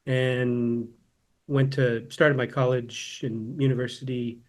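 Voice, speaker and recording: Guy in his 50s from socal, talks things through slowly, keeps restarting words, mid-pitched voice. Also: flat delivery. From Southern California. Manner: thoughtful, often repeats words while restarting. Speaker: guest, philosophy professor and translator. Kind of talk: casual interview conversation.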